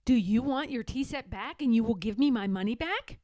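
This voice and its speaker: A woman voicing a sly, firm young girl in a storybook, speaking with deliberate emphasis. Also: a little high, animated, a little mellow, no echo, clean studio sound, lightly acted. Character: young girl, firm, sly, singsong, standing her ground.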